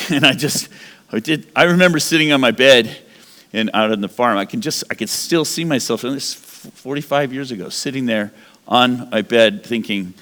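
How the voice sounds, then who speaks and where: A man in his sixties giving a rambling, animated sermon-style talk as a guest speaker in a church. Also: medium pitch, normal speed, long reverb tail. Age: 60s. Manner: animated, rambling, self-correcting.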